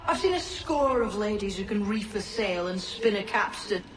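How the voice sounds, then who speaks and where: A woman's voice: medium pitch, expressive, normal speed, recorded from a distance, music in the background, lightly acted. An actress playing a tough, blunt female pirate with a coarse manner. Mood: brusque. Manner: brusque, coarse. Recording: echoey, from afar, faint noise.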